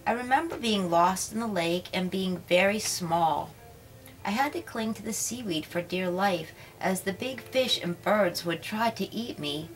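A woman voicing a tiny, gentle female mermaid who is dreamy and wistful, speaking in a soft, lilting way. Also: medium pitch, a little slow, soft background music, close to an everyday voice, rough recording.

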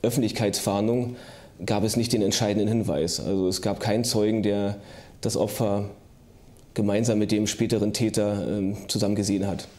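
Middle aged cop being interviewed, deepish soft dark tone, formal and halting. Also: male voice, little pitch movement. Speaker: police investigator.

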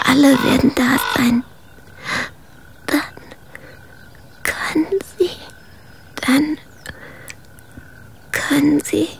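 An actress in a radio drama playing a gravely injured woman, weak and struggling to speak in halting, breathy phrases. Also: sits high, heavily acted. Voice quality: husky, breathy whisper. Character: gravely injured dying woman gasping out a secret.

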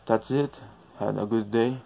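A man speaking non-native English, haltingly searching for words as he narrates an informal how-to tutorial. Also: fairly low pitch, steady tone, gravelly, mellow, a little hiss or hum. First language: not English. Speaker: DIY tutorial host.

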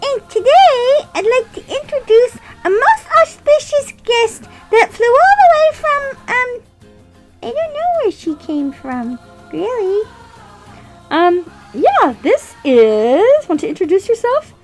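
A woman's voice: extremely high pitch, very animated, takes it slowly, soft background music. A middle-aged woman chatting casually to children as a puppet show host, recorded indoors through a face mask. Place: small indoor room.

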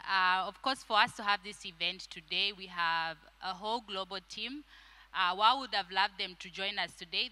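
A woman's voice: medium pitch, pushed and bright, a little hiss or hum, poor audio. A woman giving a friendly welcome speech on a conference stage into a handheld mic. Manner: friendly, with ums. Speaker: regional manager hosting an event. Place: stage in a large conference hall.

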